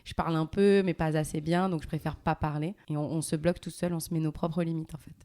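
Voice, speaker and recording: A woman in her thirties hosting a casual interview, asking questions in a gentle, measured and thoughtful way. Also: low voice, fairly even intonation. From Algeria, raised in France. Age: 30s. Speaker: host interviewing her mother.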